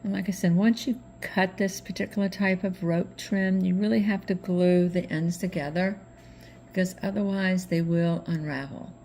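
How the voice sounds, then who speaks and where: Middle-aged female craft host, slightly hoarse, relaxed chatty tutorial delivery, warm low tone. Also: normal speed, slight background noise. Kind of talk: casual craft tutorial narration.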